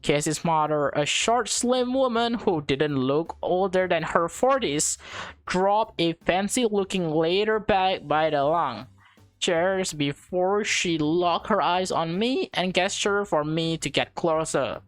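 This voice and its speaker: A woman's voice: lowish voice, slow. A woman reading a visual novel aloud as a let's play, switching between narration and casual commentary. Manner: reacts aloud in informal asides.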